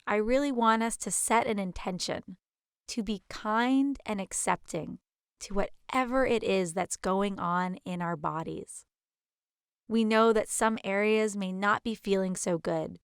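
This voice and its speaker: A woman leading a guided meditation as instructor, with a gentle, soothing, unhurried manner. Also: medium pitch, smooth, dry recording, studio quality.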